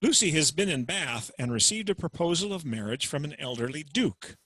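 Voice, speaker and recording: Matter-of-fact male presenter, bright tone, dry close sound. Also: on the high side, lively intonation, brisk, breathy edge, no echo. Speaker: program host introducing acts. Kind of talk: plain explanatory summary. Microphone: video call.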